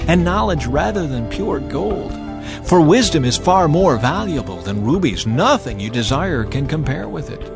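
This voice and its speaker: Man voicing exalted, proclaiming Wisdom, lively pitch. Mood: proclaiming, urgent. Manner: declamatory, proclaiming to a crowd. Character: Wisdom personified, an ancient woman, earnest, exalted, commanding. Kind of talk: dramatized scripture reading. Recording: music behind the voice. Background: music.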